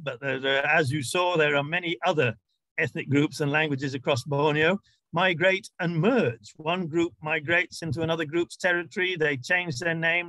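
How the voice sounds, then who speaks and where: A man giving an academic lecture in a measured, explanatory way, with hesitant pauses and informal asides. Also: on the high side, bright, forceful tone, no room sound, low-quality recording. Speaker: university lecturer.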